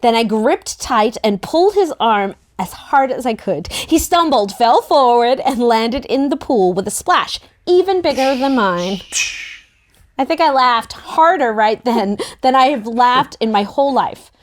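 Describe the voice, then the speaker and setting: A woman narrating a first-person story in an expressive, youthful storytelling manner. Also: fairly high pitch, unhurried. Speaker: narrator in a book table read.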